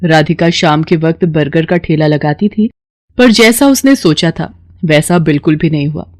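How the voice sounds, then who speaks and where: A woman's voice: low voice, moderate pace, a little mellow, dry recording, studio quality. A woman narrating a scripted story as its storyteller.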